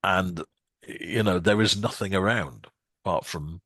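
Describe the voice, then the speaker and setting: A man's voice: low voice, unhurried, a bit bright, no room sound, clean studio sound. A man co-hosting a casual music podcast, talking with many restarts and ums. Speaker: podcast co-host.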